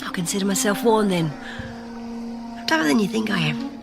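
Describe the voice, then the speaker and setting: A woman's voice: medium pitch, sing-song, a little fast, vocal fry. An actress playing a tough, defiant, self-reliant woman in an audio drama, curt in manner. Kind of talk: audio drama trailer acting. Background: music.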